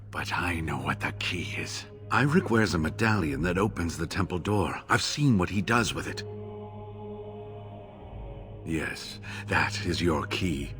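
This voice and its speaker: An actor playing a wounded, devoted elderly scholar in a video game, speaking in a halting, earnest way. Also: male voice, low-pitched, a bit bright. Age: older.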